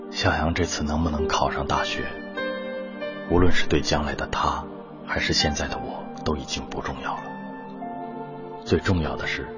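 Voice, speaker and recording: A man playing a devoted volunteer teacher in a radio drama, telling his story in an earnest, reflective, emotive way. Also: a bass voice. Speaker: narrator and lead character. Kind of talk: dramatic radio-play narration and dialogue. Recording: muffled radio drama under prominent music.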